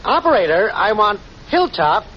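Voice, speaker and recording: An actor playing a cocksure, shiftless boyfriend with breezy, slangy smooth talk in a 1940s radio comedy. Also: male voice, sits high, big pitch swings, moderate pace. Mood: bossy, prompting. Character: scheming, cocksure, shiftless boyfriend, a small-time wise guy. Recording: muffled, hissy 1940s radio recording.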